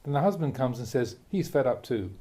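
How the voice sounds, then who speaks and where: A man, a Buddhist monk, reads a translated spiritual talk aloud in a measured, emphatic way, posing rhetorical questions. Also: medium pitch, expressive, dark tone. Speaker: Buddhist monk reading a teacher's talks aloud. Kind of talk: reading a translated Dharma talk aloud, with brief asides.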